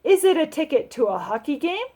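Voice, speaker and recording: Woman acting a fretting, high-voiced storybook mother. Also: big pitch swings, a little fast, a little mellow. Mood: concerned. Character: Loretta's fretting, coaxing mother, adult woman.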